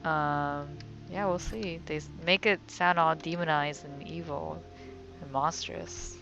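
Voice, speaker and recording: A woman's voice: deep voice, fairly even intonation, measured pace, fairly bright tone. A woman giving casual let's-play commentary as the host of a gaming video. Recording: slightly dull, lo-fi voice track. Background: game music.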